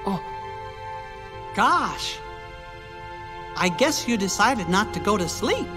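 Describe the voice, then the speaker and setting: An actor voicing a small, cheerful cartoon mouse king in a video game, with a high squeaky falsetto and an earnest, friendly way of talking. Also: very animated, slow pace, full character voice. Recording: game audio with loud music underneath.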